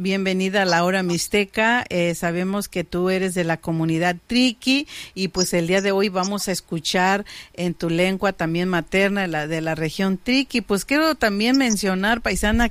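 A woman's voice: mid-range voice, dry recording. A woman hosting a community radio program, talking in a chatty, friendly way with fillers and asides to listeners. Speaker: radio host.

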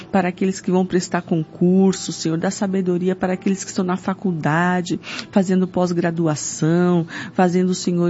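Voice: mid-range voice, mellow. A Brazilian prayer leader pleading fervently in an extemporaneous evangelical prayer, punctuated by repeated invocations. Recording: dull lo-fi sound with music behind. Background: music.

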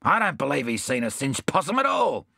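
A man acts out a quick-tempered storybook adventurer. He blusters and roars with indignation, in a forceful voice that swings widely in pitch. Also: big pitch swings, gravelly, slightly rough, no echo, studio quality, heavily acted. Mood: indignant rage. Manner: roaring, indignant, colourfully abusive.

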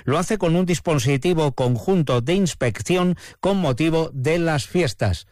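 A man's voice: on the high side, measured pace, darkish tone, no room sound, studio quality. A man with an accent from Spain, presenting local news on the radio. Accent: Spanish from Spain.

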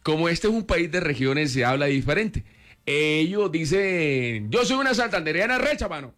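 High, lively man with a coastal accent. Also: normal speed. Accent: Colombian coastal, from Barranquilla. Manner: joking, laughing often. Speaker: radio co-host. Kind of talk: playful on-air banter.

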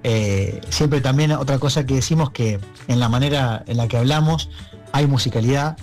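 A man with an Argentine accent speaking as a musician guest in an interview, reflective and halting, often checking for agreement. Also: medium pitch, a little mellow, soft background music. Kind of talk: conversational interview.

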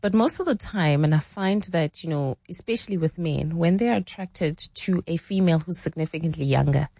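A woman's voice: low-pitched, brisk, vocal fry, warm tone, no room sound. A woman in her forties from South Africa, explaining relationship ideas in conversational talk, thinking aloud with frequent restarts. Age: 40s. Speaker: relationship expert guest.

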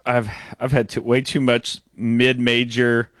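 A man's voice: medium pitch, level tone, measured pace, dry recording. A man co-hosting a sports radio show, making wry, opinionated jokes in casual banter.

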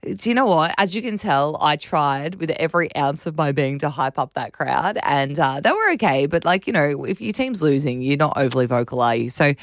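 A woman with a raspy voice and an Australian accent, co-hosting breakfast radio with casual, animated chat about sport. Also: deep voice, a little fast, few pauses, no room sound, studio quality.

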